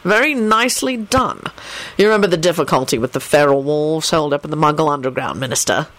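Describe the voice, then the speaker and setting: A storybook reader voicing a stern, impassive male law-enforcement official with a clipped, formal, deadpan delivery. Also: mid-range voice, fast, no echo, natural voice, low-quality recording. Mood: dryly pleased.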